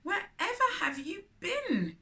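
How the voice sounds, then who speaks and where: Woman as a kindly, animated big male rocket. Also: very high-pitched, slow, pitched above the performer's own voice, full character voice. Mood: concerned. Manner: concerned, praising.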